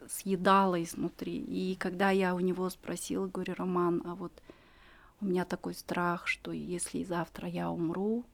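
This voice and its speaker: A woman in her forties telling her personal story as a podcast guest, earnest and reflective, pausing often with fillers. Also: lowish voice, creaky, a little mellow.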